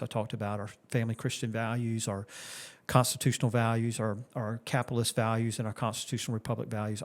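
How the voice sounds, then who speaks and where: This is a man in his late fifties from Florida who speaks as a candidate for Congress. His voice sits at a middle pitch. He answers in an earnest way, with many restarts and repeated phrases. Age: 50s. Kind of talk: interview answers.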